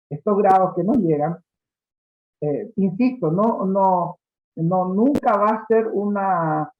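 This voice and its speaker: A man explaining ideas in a seminar, speaking haltingly with many drawn-out fillers. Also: high voice. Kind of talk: seminar discussion. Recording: dull lo-fi audio.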